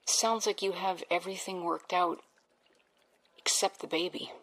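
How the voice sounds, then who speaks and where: A woman's voice: on the low side, steady tone, a little slow, fairly bright tone, low-quality recording. An actress playing a young female research assistant who questions plans in a dry, practical way. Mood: skeptical. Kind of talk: audio drama acting.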